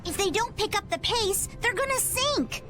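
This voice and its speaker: An actress voicing a frantic young woman in a game cutscene, with a high, strained voice. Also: lively intonation, normal speed. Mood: worried. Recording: game audio with music behind.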